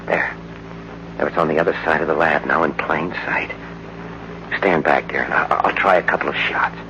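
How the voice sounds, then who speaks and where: A man acting a brave young hero in an old-time radio drama, with an earnest, halting urgency. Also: extremely low pitch, steady tone, pushed and bright. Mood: tense, determined. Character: brave, tense young hero facing a monster. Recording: muffled, noisy old radio broadcast recording.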